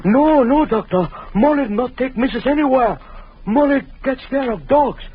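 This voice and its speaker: A frightened foreign servant. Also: male voice, very high voice, expressive, moderate pace, breathy edge, exaggerated voice. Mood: pleading. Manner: pleading, broken English. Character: frightened foreign male servant, broken English. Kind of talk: 1940s radio acting. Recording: muffled old radio recording.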